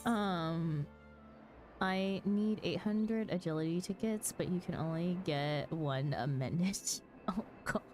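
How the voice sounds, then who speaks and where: Low-voiced woman chatting on a game stream. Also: takes it slowly, darkish tone. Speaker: game livestream host. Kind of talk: casual chat with stream viewers while gaming. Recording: music behind the voice. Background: music.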